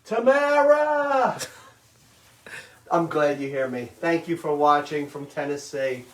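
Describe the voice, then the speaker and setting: A middle-aged man teaching a live online baking class, talking loudly and chattily and checking in with "okay?" all the time. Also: fairly high pitch, lively intonation, takes it slowly. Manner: loud, chatty, says "okay?" often. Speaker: cooking instructor. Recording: roomy kitchen sound, mic at a distance.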